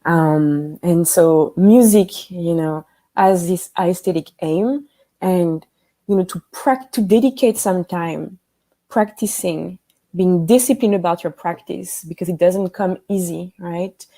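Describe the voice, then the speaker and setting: A woman from France with a French accent, a guest speaking thoughtfully on a video call, pausing often and using fillers. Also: fairly low pitch, dark tone. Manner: thoughtful, with frequent pauses and fillers. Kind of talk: conversational interview. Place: home room with a piano.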